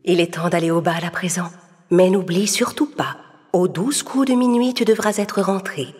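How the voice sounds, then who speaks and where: A woman voicing a kind fairy godmother in a children's story, soft and reassuring. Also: on the low side, normal speed, slightly rough, big-room sound, faint music behind. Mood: gentle, cautioning.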